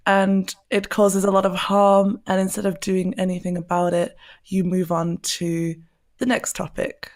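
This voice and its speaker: Woman cohost with a gravelly creak. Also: mid-range voice, darkish tone. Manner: playful, teasing. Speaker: podcast co-host. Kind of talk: casual podcast chat. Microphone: podcast microphone over video call.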